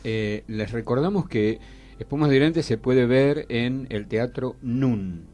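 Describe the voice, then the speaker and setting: A man's voice: mid-range voice, a little slow, darkish tone, faint music behind. A middle-aged or older man with an Argentine Rioplatense accent hosting a radio interview, attentive and often repeating short affirmations.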